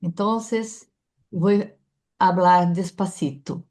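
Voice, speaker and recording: An older woman from Brazil with a Brazilian Portuguese accent, a museum director and anthropologist, speaking halting Spanish mixed with Portuguese, full of hesitant filler sounds. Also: lowish voice, steady tone, a little mellow.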